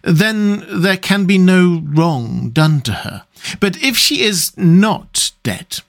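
A man's voice: on the high side, animated, a little slow, a bit bright, voice pushed up. A man voicing a grave, stern but compassionate old professor in an audiobook, speaking in solemn, earnest, formal phrases. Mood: grave, measured. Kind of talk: dramatic audiobook character reading.

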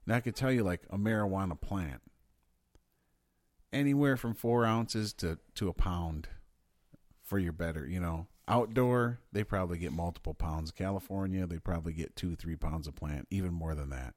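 Deep-voiced excitable man chatting. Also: normal speed, no echo, clean studio sound. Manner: excitable, swearing, riffing on questions. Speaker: podcast co-host. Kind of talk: casual chat.

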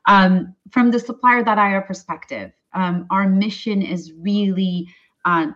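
A woman from Turkey, a tech company chief executive, speaking sincerely on a panel with many pauses and fillers. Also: medium pitch, a bit bright, dull audio. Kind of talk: webinar panel discussion.

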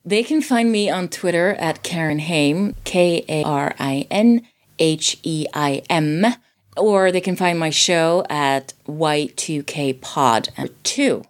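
A woman's voice: deep voice, takes it slowly. A woman speaking as a podcast guest, casually explaining and recommending things, repeating words for emphasis.

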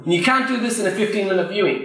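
Irish male trainer, high and bright, punchy repeated points to a live crowd, roomy echo. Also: rapid-fire. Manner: emphatic, repeats key phrases, addresses the crowd directly. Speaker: property investment trainer. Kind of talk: live Q&A coaching talk to an audience. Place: event room before a live audience. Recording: very echoey room.

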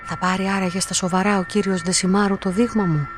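An actress playing a solitary young woman in a radio play, polite, measured and introspective, quietly devoted and resolute. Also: mid-range voice, steady tone, noisy background, close to an everyday voice. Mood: introspective narration. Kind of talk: radio drama acting and first-person narration.

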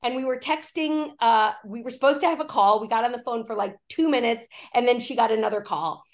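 A woman executive coach, hoarse from a cough, talking in a podcast interview and acting out quoted speech as she tells stories. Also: fairly high pitch, a little fast. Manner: animated storytelling, acts out quoted speech.

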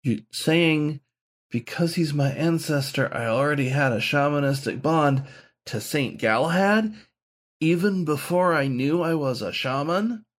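Man playing an anxious young dog student, high and plaintive. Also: lively intonation. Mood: uncertain. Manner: hesitant, halting, plaintive.

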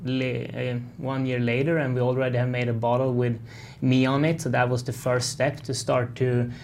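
A young man from Sweden speaks with a Swedish accent at a middling pitch. He talks as an interview guest, friendly and halting, often restarting his phrases. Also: flat delivery, vocal fry, darkish tone, a little hiss or hum. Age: young adult. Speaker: race car driver, guest. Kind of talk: casual interview talk.

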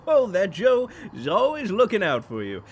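An actor playing an older, folksy and evasive small-town mayor in an audio drama, with an avuncular, hesitant manner. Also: male voice, high voice, huge pitch swings, unhurried, slight background noise, exaggerated voice. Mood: jovial.

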